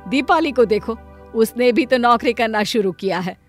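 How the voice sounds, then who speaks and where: A practical older mother-in-law in an animated family drama , played by a woman. She chides and nags in a matronly manner, with music behind her. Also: fairly high pitch, moderate pace, natural voice. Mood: nagging. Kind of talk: animated Hindi family story dialogue.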